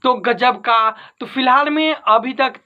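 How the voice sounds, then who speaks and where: A man's voice: very high voice, slow, highs cut off. A man giving informal entertainment news commentary as an online host, repeating and rephrasing his points for emphasis. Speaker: YouTube host.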